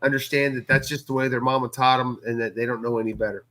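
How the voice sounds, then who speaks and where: A man's voice: mid-range voice, fairly even intonation, fast, fairly bright tone. A man co-hosting a casual recap chat, often repeating and restarting his phrases. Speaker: co-host.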